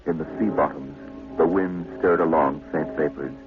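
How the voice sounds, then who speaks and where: An actor narrating a 1950s radio drama as a grave, solemn storyteller with a literary manner. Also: male voice, rumbling low, steady tone, moderate pace. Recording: narrow-band 1950s radio recording. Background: dramatic music.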